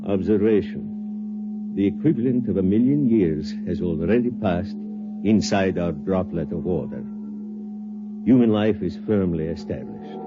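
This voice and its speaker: An actor playing a grave scientist in a 1950s radio drama, speaking in a clipped, solemn, measured way over a muffled vintage recording. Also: male voice, low-pitched, expressive, over loud music. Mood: solemn, clinical. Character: grave male scientist running a desperate experiment on humanity. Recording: muffled vintage radio, loud humming electronic tones.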